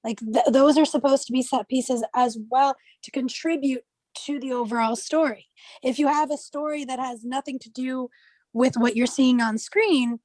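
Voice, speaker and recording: High-voiced woman, direct, recorded dry. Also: steady tone, moderate pace, darkish tone, no echo, poor audio. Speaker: media studies student. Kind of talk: casual group discussion.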